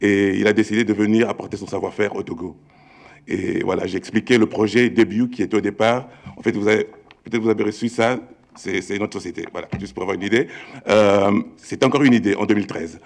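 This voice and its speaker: Male panelist, middle-aged, lowish creaky voice, informal storytelling to present his company. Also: steady tone, quick pace. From Congo-born, raised in Belgium. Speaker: panelist, tech entrepreneur.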